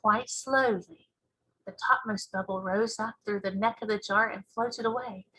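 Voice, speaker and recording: A woman's voice: medium pitch, fairly even intonation, unhurried, a bit bright, husky, rough recording. A woman narrating a storybook as a teacher reading aloud.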